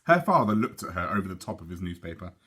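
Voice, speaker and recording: A man reading a children's storybook aloud in an expressive narrating style. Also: low voice, fast talker, husky.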